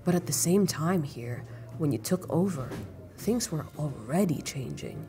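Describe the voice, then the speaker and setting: A woman's voice: sits low, lively intonation, a little slow, dark tone. Composed, wry female operative woman acting, music behind. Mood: probing, thoughtful. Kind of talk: dramatic audio roleplay acting.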